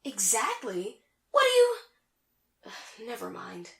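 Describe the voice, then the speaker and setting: Haughty, high-voiced anime sorceress, echoey. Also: female voice, sing-song, a little fast, a crowd in the background. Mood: indignant, flustered. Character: vain, haughty young female sorceress rival from an anime. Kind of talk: comedic anime fan audio drama acting.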